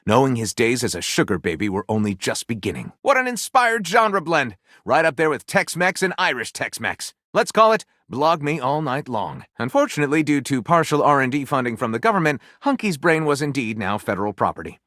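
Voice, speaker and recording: Wildly swooping theatrical storyteller. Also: male voice, medium pitch, sing-song, fairly bright tone, no room sound, clean studio sound. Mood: gushing, delighted. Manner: theatrical, dramatic swoops and pauses, gushing then snide. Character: flamboyant, theatrical male book lover narrating his absurd pulp tales. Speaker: voice actor. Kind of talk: game character voice lines, melodramatic storytelling.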